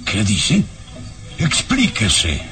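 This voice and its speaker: A baron in an old radio play who speaks slowly and haltingly in a very deep voice. His words rise and fall widely as he presses others with anxious questions. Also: wildly swooping pitch, pushed and bright, faint music behind, full character voice. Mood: puzzled. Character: puzzled, anxious male nobleman, a baron. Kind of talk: vintage radio drama acting.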